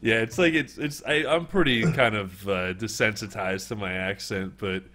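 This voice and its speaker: A man with a New York accent hosts a casual online interview. His voice sits a little low, and he rambles through restarts and chuckles often. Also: moderate pace, pushed and bright. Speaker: interview host.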